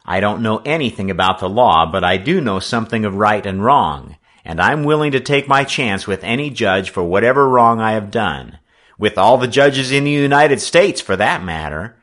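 A stubborn, righteous boy, voiced by a male narrator in an adventure story. He speaks earnestly and indignantly, with emphatic, lively swings of pitch. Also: normal speed. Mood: defiant. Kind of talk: audiobook character acting.

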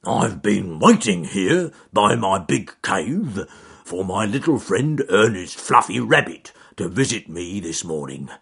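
A man voicing a big, gruff, growly male bear who is protective of his friends, loud and booming. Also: big pitch swings, slow pace, exaggerated voice. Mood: worried.

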